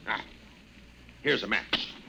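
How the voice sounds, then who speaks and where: A man's voice: slow, close to an everyday voice. A man acting a brusque, patronizing executive boss in a 1950s radio drama. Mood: puzzled irritation. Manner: brusque, paternal, condescending. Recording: dull, noisy 1950s radio recording.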